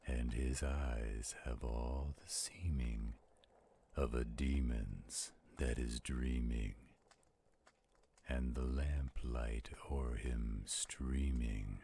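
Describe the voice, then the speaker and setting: Man playing a very deep, gravelly werewolf. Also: fairly even intonation, mellow, a little hiss or hum, full character voice. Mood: grave, theatrical. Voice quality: gravelly, husky. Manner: slow, dramatic, playful. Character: teasing flirtatious male werewolf, theatrical campfire storyteller. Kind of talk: audio roleplay acting with dramatic poem recitation.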